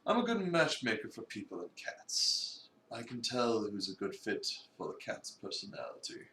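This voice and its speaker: Visual novel cafe owner, read by a man: brooding, formal, deep-leaning and deliberate, recorded off-mic with background noise. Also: sing-song, bright, forceful tone, full character voice. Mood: solemn, measured. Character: brooding, theatrical eccentric male cat cafe owner. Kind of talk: dramatic reading of a visual novel. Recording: echoey room, distant mic, noisy.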